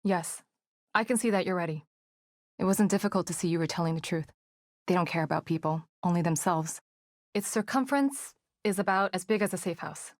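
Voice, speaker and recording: An actress playing a composed, clinical and earnest woman psychologist in a horror game, with precise, measured wording. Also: on the low side, dry recording, studio quality, close to an everyday voice. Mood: measured, serious. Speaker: video game voice actor. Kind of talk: scripted game dialogue acting.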